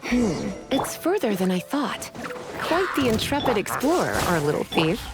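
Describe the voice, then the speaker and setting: A woman's voice: mid-range voice, big pitch swings, normal speed, loud music behind the voice, close to an everyday voice. An actress playing a teasing, sultry librarian witch in an anime video game, coy, condescending and flirtatious. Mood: playful, musing.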